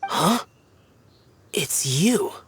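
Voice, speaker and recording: Solemn, aloof immortal guardian. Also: male voice, on the high side, animated, very slow, a bit bright, slight background noise, exaggerated voice. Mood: surprised. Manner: terse, grave, formal. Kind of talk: video game voice acting. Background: background music.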